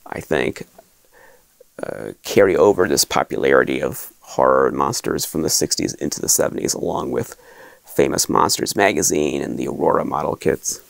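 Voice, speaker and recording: A man in his thirties with a very low voice and a gravelly edge speaks slowly and thoughtfully to camera. His pitch moves a lot as he explains, with plenty of restarts. The sound is close and dry, from a small wood-paneled room. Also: no room sound. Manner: thoughtful, measured, frequent restarts and uh fillers. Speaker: collectibles show host. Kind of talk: casual explanatory talk to camera. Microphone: fixed camera at desk, framed from chest up.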